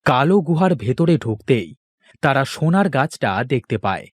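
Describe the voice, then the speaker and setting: A man's voice: mid-range voice, very animated, normal speed, slightly rough, no echo, clean studio sound. A man narrating a scripted cartoon fairy tale as a storyteller.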